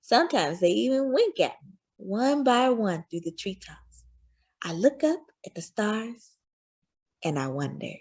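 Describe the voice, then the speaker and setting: Loving, patient storybook mother, read by a woman, very expressive. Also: mid-range voice, a little slow, slightly rough, pitched above the performer's own voice, heavily acted. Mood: hushed, wistful. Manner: gentle, reassuring, expressive. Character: loving, patient enslaved mother comforting her young son. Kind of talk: children's storybook read-aloud.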